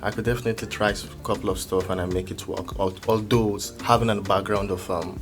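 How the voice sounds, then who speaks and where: A man with a Nigerian accent answering casually as an interview guest, explaining things haltingly. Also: fairly low pitch, fairly even intonation. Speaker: music producer, interview guest. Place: music studio. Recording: music playing behind the voice.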